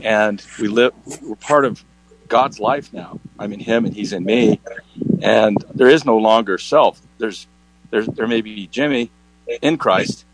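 A man's voice: on the low side, a little slow, slight background noise, rough recording. A man in a group Bible discussion, speaking in an earnest, heartfelt and somewhat hesitant way. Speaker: Bible study participant.

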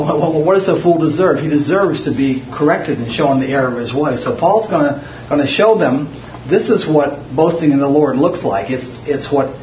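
A somewhat high-voiced man from the United States leads a small Bible study, talking in a loose, rambling way full of restarts. He is recorded from across an echoey room on a muffled, dull mic, with a faint hiss underneath. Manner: rambling, with frequent restarts and fillers. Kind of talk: informal Bible study teaching. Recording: muffled, roomy, distant mic.

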